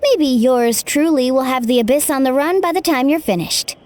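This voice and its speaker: A woman's voice: high-pitched, moderate pace, natural voice. A woman playing a confident, playful young fighter in a video game, with a breezy, teasing manner.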